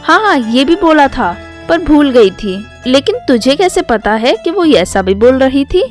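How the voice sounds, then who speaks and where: A woman's voice: sits high, very animated, a little slow, faint music behind. A woman voicing a sly, gossipy young daughter-in-law who feigns innocence in a storybook tale. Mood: feigned innocence.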